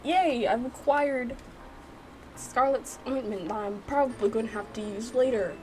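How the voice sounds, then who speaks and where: A woman's voice: fairly high pitch, measured pace, a little mellow, slight background noise, rough recording. A woman hosting a gaming channel, casually reacting and narrating with playful, chatty asides. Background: music.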